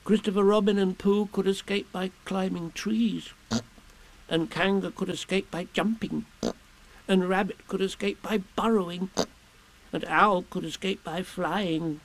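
A man's voice: high-pitched, creaky, voice pushed up, heavily acted, poor audio. A man voicing a very small, anxious young piglet in a children's storybook, musing in a fretful, wistful, hesitant way. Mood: anxious, helpless.

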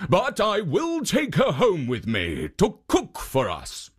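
A narrator voicing a hungry ogre who talks slowly, sounding suspicious and falsely polite. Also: medium pitch, wildly swooping pitch, husky, voice pushed up, exaggerated voice. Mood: sly.

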